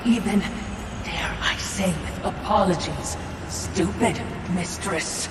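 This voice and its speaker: An actress playing a sly, servile spirit minion in a fantasy video game, fawning and anxious in halting phrases. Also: female voice, fairly low pitch, loud music behind the voice. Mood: anxious, muttering. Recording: distant, echoey, very noisy background.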